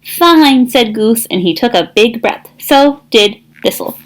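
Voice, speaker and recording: A woman speaking as a school counselor reading a picture book aloud to children, with an encouraging, expressive delivery. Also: a little high, measured pace.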